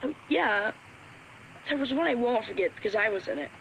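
A woman's voice: on the high side, expressive, brisk, gravelly, a bit bright, slight background noise, poor audio. An actress playing an earnest, hesitant caller to a radio show in a game's radio drama, telling her story tentatively and plainly. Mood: earnest, reflective. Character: earnest, hesitant female caller sharing a family secret. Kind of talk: radio drama acting in a game. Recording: music playing behind the voice.

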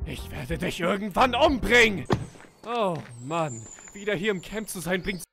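A man's voice: sits high, expressive, measured pace. A man voicing a whiny, exasperated male camp counselor in a cartoon fan dub. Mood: weary. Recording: loud music bed under dubbed cartoon.

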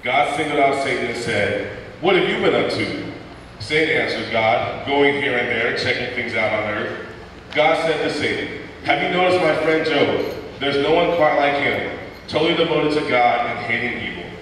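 A young man narrating a church skit, reading the story in a steady storytelling manner from a pulpit microphone in a large church sanctuary. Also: medium pitch, moderate pace, slight background noise. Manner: steady storytelling read-aloud, voicing quoted lines plainly. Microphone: stand mic at pulpit, heard from far off. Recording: echoey church hall from far off.

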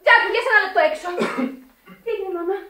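A woman's voice: very high-pitched, sharp tone. An actress playing an eager, lovestruck teenage girl in a Greek radio drama, girlish and impulsive. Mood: urgent, pleading. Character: eager, lovestruck teenage Italian-immigrant girl, pleading daughter. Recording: roomy, distant old radio recording.

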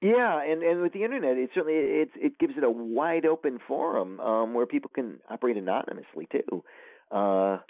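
A male author as interview guest, talking in a rambling, animated way with many restarts over a phone line. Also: medium pitch, fairly quick, no room sound. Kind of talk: casual interview conversation. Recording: tinny phone line, dry.

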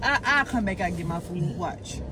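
A woman's voice: on the low side, animated, moderate pace, heavy background noise. A young woman in a parked car insistently pleading with her husband for his food, recorded on a dashboard camera. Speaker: wife in a prank video. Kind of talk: casual bickering. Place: inside a car.

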